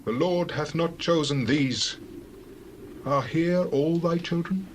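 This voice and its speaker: Stern biblical prophet, played by a man, solemn declaimer, slightly high male voice, faint background noise. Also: takes it slowly. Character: stern, righteous prophet rebuking a king. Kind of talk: dramatized scripture reading.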